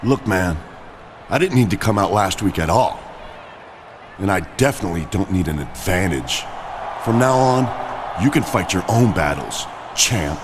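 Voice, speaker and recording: A man's voice: deep voice, expressive, a little slow, pauses often, noisy background. A man playing a blunt, cool-headed big wrestler in a video game cutscene. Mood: curt, cold.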